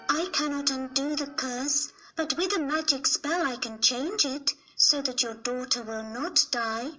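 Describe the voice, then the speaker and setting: Kind fairy woman voicing, high and gentle, unhurried, faint music under. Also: low-quality recording. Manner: soft, reassuring delivery. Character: kindly good fairy, gentle and soothing. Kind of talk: storybook character reading.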